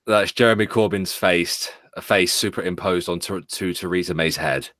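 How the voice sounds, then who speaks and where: A man's voice: low voice, moderate pace, fairly bright tone, no echo, clean studio sound. A man co-hosting a casual stream, joking and reading the chat aloud. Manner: jokey, reads chat aloud. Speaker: stream co-host.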